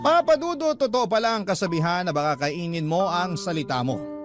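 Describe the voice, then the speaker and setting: A man's voice: high voice, lively intonation, brisk. An actor playing a smitten office worker, narrating his love story earnestly and sentimentally in a Tagalog radio drama. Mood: earnest, wistful. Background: acoustic guitar music.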